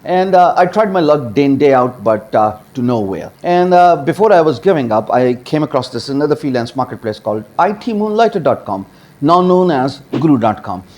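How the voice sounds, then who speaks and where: A man in his forties from Pakistan speaks straight to camera in a small home studio, sharing how he built his career. His delivery is lively and emphatic, with sudden pitch jumps on key words and plenty of uh fillers. A small lapel mic keeps his voice close. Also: fairly quick. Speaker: freelancer and YouTuber. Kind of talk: direct-to-camera personal story.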